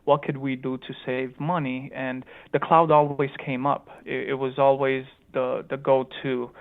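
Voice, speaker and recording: A man's voice: medium pitch, gravelly. Phone-line man with slight Romanian-Chicago accent. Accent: slight Romanian mixed with Chicago. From Chicago, United States, born in Romania. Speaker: guest, tech company founder. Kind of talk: conversational interview answers.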